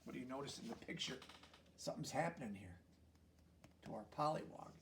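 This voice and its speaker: A man reading a children's story aloud as an expressive narrator. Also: medium pitch, brisk, recorded from a distance, a little hiss or hum. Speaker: storybook reader.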